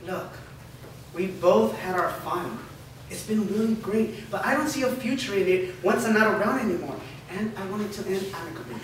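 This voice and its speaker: A man's voice: high-pitched, animated, moderate pace. A man playing a blunt, self-centred young boyfriend in a staged drama reading. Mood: blunt, dismissive. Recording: echoey room, distant mic, clear noise.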